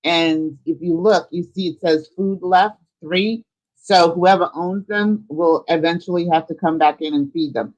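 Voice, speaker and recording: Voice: mid-range voice, unhurried, rough recording. A live stream host chatting casually and informally, thinking aloud while giving a tour of a virtual world.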